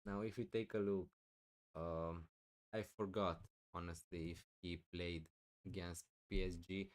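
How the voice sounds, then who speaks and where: Man, live streamer, low voice, smooth and clear, loud with lots of fillers, voice wearing thin. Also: level tone, a little slow. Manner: loud, thinking aloud with many fillers. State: losing his voice, clearing throat. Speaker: fantasy football streamer. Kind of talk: live stream answering chat questions.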